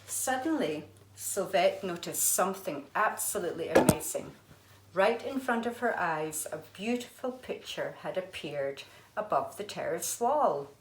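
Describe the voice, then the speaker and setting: A woman's voice: lowish voice, expressive, measured pace, a little hiss or hum. A woman, an art teacher, reading a children's storybook aloud expressively. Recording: echoey room.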